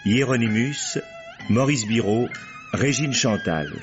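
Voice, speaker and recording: A male announcer gives formal radio credits in a lowish voice. He is measured and very unhurried. Clear music plays behind him on a dull old recording. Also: huge pitch swings.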